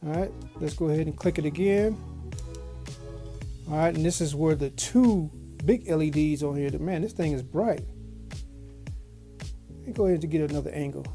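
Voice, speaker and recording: A woman's voice: sits low, normal speed, long pauses, mellow, soft background music. A woman giving a casual product review as a video host, in a folksy, slangy way with repeated phrases. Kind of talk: casual unboxing review chat. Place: small home office studio.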